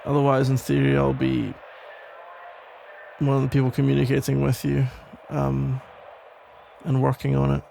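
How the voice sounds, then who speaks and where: A man playing a protective, tender police officer still in love, speaking haltingly in an intimate ASMR roleplay. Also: medium pitch, completely flat delivery, vocal fry, slight background noise, poor audio. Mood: earnest, careful. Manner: hesitant, halting, rambling with sighs and soft chuckles. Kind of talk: ASMR roleplay, intimate one-sided conversation.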